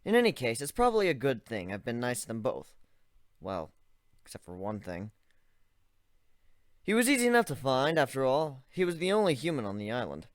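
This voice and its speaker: Male amateur reader, wry first-person narration, animated, dry mic. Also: mid-range voice, no room sound. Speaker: story reader. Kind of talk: first-person dramatic reading.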